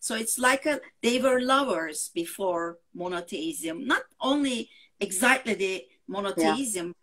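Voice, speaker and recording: A woman writer with a Turkish accent, speaking English as a second language in an interview, often pausing with fillers to find words. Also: mid-range voice, animated, a bit bright. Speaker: novelist guest. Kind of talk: interview conversation.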